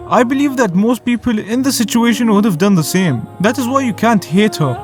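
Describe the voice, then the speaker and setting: A man whose first language is Arabic, narrating a scripted review in a casual, joking way as the host. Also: high-pitched. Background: faint music.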